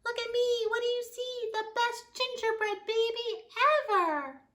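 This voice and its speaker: A woman's voice: very high-pitched, unhurried, pitched above the performer's own voice. A woman voicing a cheeky, boastful little gingerbread baby boy in a singsong, taunting storybook voice. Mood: loud singsong glee. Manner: singsong, gleeful bragging.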